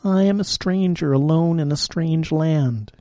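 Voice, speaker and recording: A lonely enchanted frog from a storybook, gentle and earnest, pleading softly, as a male reader puts him on. The voice is dark and gravelly, with a husky edge. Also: mid-range voice, expressive, takes it slowly, no room sound, studio quality, heavily acted. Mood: sorrowful longing.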